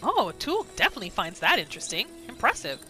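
A woman's voice: mid-range voice, huge pitch swings, quick pace. A woman hosting a gaming video, giving reactive roleplay commentary over gameplay. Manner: reactive, narrates her character in third person. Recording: faint game music behind.

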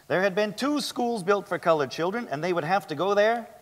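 A man playing a methodical 1890s defence lawyer in a dramatic stage reading, reciting formal questions deliberately. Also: high-pitched, animated. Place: large theatre stage, indoors. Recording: echoey theatre hall.

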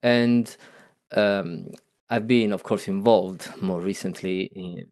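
A middle-aged man with an Italian accent presents to a webinar audience from a home room. His voice sits low and gravelly, and the sound is dry and close. He keeps stopping for hesitant fillers. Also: darkish tone, no room sound. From Italy and Britain. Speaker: conference presenter.

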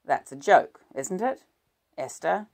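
A woman's voice: fairly low pitch, animated, takes it slowly, creaky, darkish tone, heavily acted. A woman voicing a wounded, bitter husband who growls and turns sarcastic. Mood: tense.

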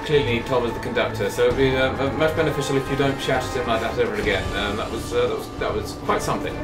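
A man's voice: mid-range voice, quick pace, over loud music. Polite hesitant male player. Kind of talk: tabletop game play.

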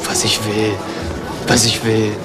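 A stubborn young drifter, music behind. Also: male voice, fairly low pitch, completely flat delivery, takes it slowly. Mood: stubborn, provocative. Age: young adult. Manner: evasive, contrary, repetitive. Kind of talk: German crime radio drama acting.

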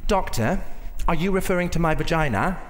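A man's voice: fairly high pitch, animated, brisk, voice pushed up, natural voice. A man voicing a prim, indignant lady patient in an affected, exclamatory way during a stand-up routine. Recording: reverberant hall, clear background noise.

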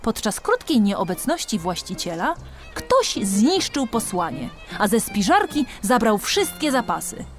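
A woman's voice: a little high, big pitch swings, measured pace, faint music behind. A woman reading a children's storybook as an audiobook narrator, expressively and with dramatic emphasis.

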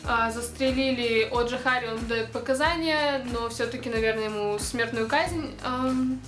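A young woman chats casually to her camera, rambling from point to point with plenty of fillers. Her voice sits slightly high. The room is echoey, with music playing clearly behind her. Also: moderate pace, a bit bright. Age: young adult. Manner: chatty, rambling, with frequent fillers and laughs. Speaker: vlogger. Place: dark indoor room. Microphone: camera close to face.

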